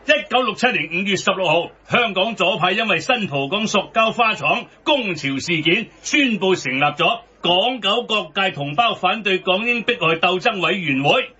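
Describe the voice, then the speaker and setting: An actor playing a blunt, combative fat man who lectures in Hong Kong Cantonese in a radio drama, with a husky voice. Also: high-pitched, expressive, normal speed, hardly any pauses, sharp tone, exaggerated voice. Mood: emphatic, lecturing. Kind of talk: satirical radio drama acting. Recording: dull, band-limited broadcast audio.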